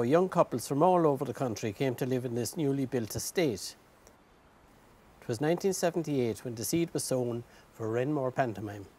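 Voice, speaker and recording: A man's voice: medium pitch, a little mellow. An Irish man in his sixties reading scripted documentary narration in a promotional, flowery style.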